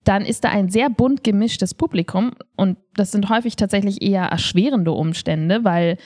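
A woman's voice: medium pitch, normal speed, darkish tone. A woman hosting a podcast in a casual, conversational style. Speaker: podcast host.

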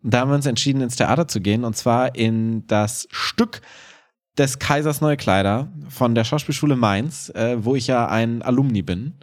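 A man's voice: medium pitch, moderate pace. Joking man on a podcast. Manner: joking, playfully ironic. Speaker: podcast co-host. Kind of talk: casual chat.

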